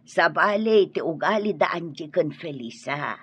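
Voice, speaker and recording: A woman's voice: fairly low pitch, lively intonation, measured pace, slightly rough. An actress with an Ilocano accent playing a respectful woman who speaks earnestly to her madam in a radio drama. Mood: earnest, deferential.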